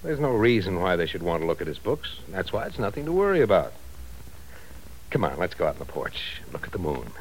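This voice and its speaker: Radio drama lead: a deep-voiced, gently teasing young fiancé, old transcription hiss. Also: male voice, huge pitch swings, a little fast, heavily acted, low-quality recording. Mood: tender. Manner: tender, teasing. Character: young man Steve, a gentle reassuring fiancé. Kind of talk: 1940s radio acting.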